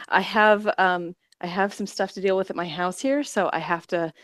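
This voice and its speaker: Lower-voiced woman chatting casually. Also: steady tone, moderate pace, a bit bright, no room sound. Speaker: co-host. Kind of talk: casual chat.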